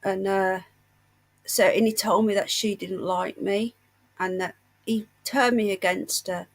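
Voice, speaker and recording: A woman's voice: medium pitch, steady tone, a little slow. Woman livestreamer, gossipy and indignant, under the weather. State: unwell with a painful chest. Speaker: livestream host. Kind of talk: casual livestream chat.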